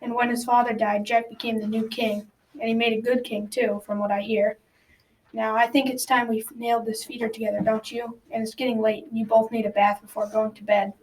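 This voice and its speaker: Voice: mid-range voice, level tone, brisk, natural voice. A young teen playing a kindly grandfather who tells a folktale in a school radio drama. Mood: gentle. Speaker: storyteller narrator. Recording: distant mic, clicks and faint noise.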